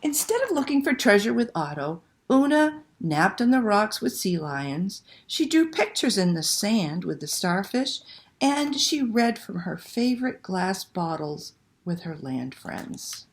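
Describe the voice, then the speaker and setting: A woman telling a picture-book story to children in a dramatic, expressive read-aloud style. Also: medium pitch, a little slow. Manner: dramatic, animated delivery with hushed passages and exclamations.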